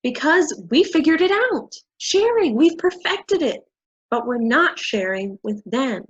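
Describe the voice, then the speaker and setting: An actress in a staged play reading, playing a haughty, paranoid boss of a scheme with condescending theatrical delivery. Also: female voice, high-pitched, expressive, a little slow, husky, highs cut off, exaggerated voice. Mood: conspiratorial, grandiose.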